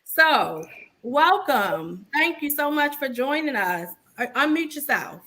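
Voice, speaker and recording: A woman hosting a live online interview show, lively and upbeat, talking straight to her viewers. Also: high-pitched, takes it slowly. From Baltimore, USA. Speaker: show host.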